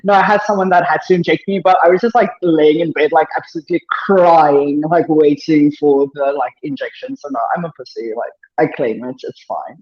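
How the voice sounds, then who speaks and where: A woman with a South African accent and a naturally deeper voice, talking candidly and self-deprecatingly as a podcast guest, rushing through thoughts with many fillers. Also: a little mellow. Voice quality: deeper than usual voice. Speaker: powerlifter guest. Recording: echoey room, dull lo-fi sound.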